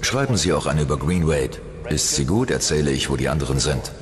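A man voicing a taunting serial killer who reads out his letter in measured, clipped commands for a true-crime documentary. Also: rumbling low, lively intonation, crowd noise. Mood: cold, taunting. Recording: long reverb, music underneath.

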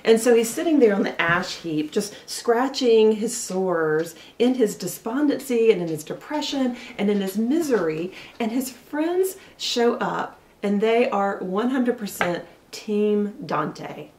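A woman in her forties preaching a sermon from her living room, telling the story earnestly and slowing down for emphasis. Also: medium pitch, expressive, a little mellow. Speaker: pastor. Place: living room at home, indoors. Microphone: camera a little way off, seated facing it. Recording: roomy sound from a distance.

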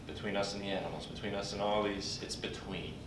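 An older man speaking at a podium in a large conference hall, introducing a colleague in a folksy, halting way with pauses. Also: fairly even intonation. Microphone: podium mic, recorded from afar by a computer. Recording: distant echoey hall recording with background rumble.

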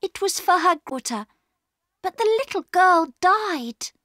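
A woman's voice: very high-pitched, lively intonation, a little slow, a bit bright, dry recording, studio quality, voice pushed up, heavily acted. A woman voicing an earnest, kind-hearted young girl in a storybook, speaking eagerly and emphatically. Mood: pleading. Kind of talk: storybook character reading.

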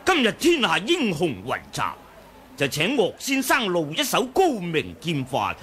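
狡诈掌门，说粤语. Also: male voice, high voice, huge pitch swings, a little slow, fairly bright tone, a little hiss or hum, exaggerated voice. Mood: taunting. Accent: Cantonese. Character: scheming male sect leader, proud and cunning. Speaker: radio drama actor. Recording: old 1980 radio drama recording.

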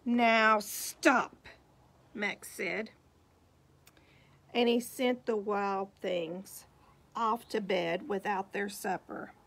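A woman reading a picture book aloud to young children in an expressive, unhurried way, pausing to point out the pictures. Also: mid-range voice, creaky. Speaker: storybook reader, teacher.

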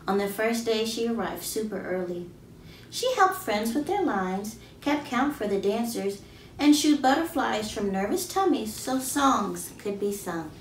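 A woman in her thirties reads a picture book aloud to children, expressively, stretching key words and asking her young listeners questions. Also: a little high. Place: small plain indoor room. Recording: roomy, distant mic, faint background noise.

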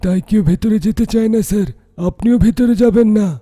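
Male actor as anxious cartoon junior engineer, high voice, very mellow, respectful, pleading. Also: fairly even intonation, brisk, faint music behind. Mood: fearful warning. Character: timid, deferential young male site engineer. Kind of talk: animated horror story dialogue.

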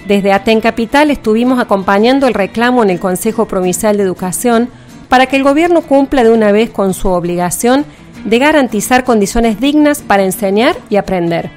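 A woman's voice: a little high, lively intonation, fairly quick, darkish tone, faint music behind. A woman with an Argentine accent co-hosting a radio show with news reading and talk. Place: radio studio.

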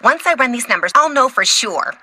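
A woman voicing an excitable, ambitious, self-delighted female scientist in a video game, over faint noise. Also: on the high side, a little fast. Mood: gleeful, scheming. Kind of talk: video game character acting.

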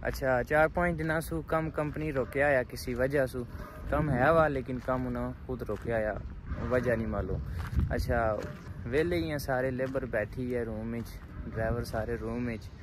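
A young man with a Pakistani Punjabi accent speaks in a relaxed, chatty vlog style, often chuckling between remarks. His voice sits at an ordinary pitch. He is recorded outdoors on a phone held at arm's length, with vehicle noise clearly audible behind him. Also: normal speed. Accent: Pakistani Punjabi (Pothwari). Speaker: truck driver vlogger. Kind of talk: casual vlog chat to camera. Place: open ground outdoors, evening.

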